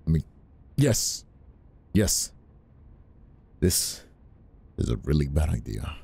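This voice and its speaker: A man playing a flirtatious, dominant boss in an intimate ASMR roleplay, murmuring slowly and breathily with a deep, gravelly voice. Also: big pitch swings, dark tone, heavily acted. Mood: conflicted, yearning. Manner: slow, breathy murmuring with sighs. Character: flirtatious, conflicted male boss, dominant and teasing. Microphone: close ASMR microphone.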